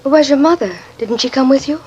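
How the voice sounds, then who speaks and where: A woman's voice: high voice, fairly quick, mellow, muffled recording, natural voice. An actress playing a polite, gracious young lady of an estate in an old-time radio drama. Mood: polite, sympathetic. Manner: polite, genteel. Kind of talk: 1950s American radio drama acting. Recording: hissy, noisy old radio recording.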